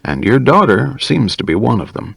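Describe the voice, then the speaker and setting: A man's voice: mid-range voice, very animated, moderate pace, gravelly, husky, no room sound, pitched above the performer's own voice, exaggerated voice. A man voicing an affable American field biologist in an audiobook, easygoing yet precise and assured.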